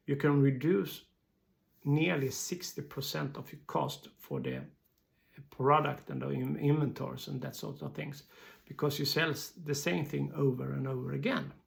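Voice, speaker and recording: A man with a Swedish accent giving an informal explanatory business talk in halting, hesitant non-native English phrasing. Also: medium pitch, gravelly. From Sweden.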